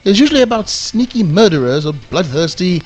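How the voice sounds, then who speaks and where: A man playing a self-important, muddle-headed owl with a husky voice in a comic radio play. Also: sits high, very animated, fairly quick. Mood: pedantic.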